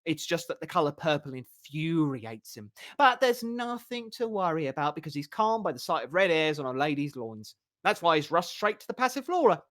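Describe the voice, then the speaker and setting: A man's voice: a little high, normal speed, hardly any pauses. A man voicing a glib, boastful male bard spinning a tall tale in an audiobook. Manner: glib, animated storytelling.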